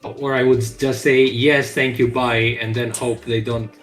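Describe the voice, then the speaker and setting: A man's voice: medium pitch, flat delivery, measured pace, soft background music. Rambling, casually swearing man streamer. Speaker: game dev streamer. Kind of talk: casual chat on a live stream.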